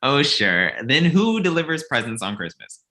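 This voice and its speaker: A man's voice: medium pitch, sing-song, normal speed, bright, forceful tone. A man playing a naive, earnest, slightly whiny son in his thirties who adores Christmas, in a comedy table read. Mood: hurt, naive. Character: naive thirty-six-year-old son, childishly devoted to Christmas and Santa.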